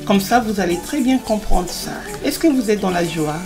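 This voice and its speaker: A woman's voice: medium pitch, animated, normal speed, natural voice. Encouraging mother teaching, a woman acting, over music. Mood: warm, reassuring. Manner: didactic, encouraging, repeats phrases for emphasis. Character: gentle, devout queen mother teaching her children. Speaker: mother teaching children about the Bible. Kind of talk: religious children's cartoon dialogue.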